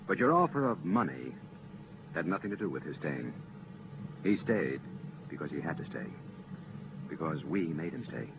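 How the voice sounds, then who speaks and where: An earnest official of a space commission in a vintage radio drama. His low voice rises and falls strongly as he explains hard choices with firm, regretful emphasis. The recording is muffled, with clear background noise. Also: very animated, normal speed, long pauses, heavily acted. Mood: measured. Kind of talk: 1950s radio drama acting. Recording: muffled, noisy 1950s radio transcription.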